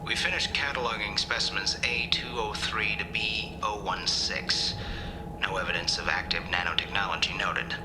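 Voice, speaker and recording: A man playing a worried, methodical researcher dictating a formal, measured log in a video game. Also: fairly low pitch, fairly even intonation, music in the background, lightly acted, rough recording. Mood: concerned.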